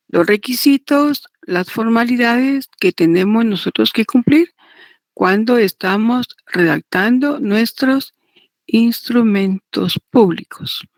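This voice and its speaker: Slow, creaky-voiced woman lecturing. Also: fairly high pitch, dry recording. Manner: deliberate, repetitive, checks understanding with tag questions. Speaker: law lecturer teaching notarial law. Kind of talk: didactic lecture, partly read aloud.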